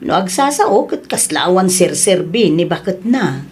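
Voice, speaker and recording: A woman's voice: lowish voice, big pitch swings, unhurried, a little mellow, slight background noise. A woman playing an older mother in an audio drama, chiding and exclamatory, concerned and meddling, with an Ilocano accent. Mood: scolding.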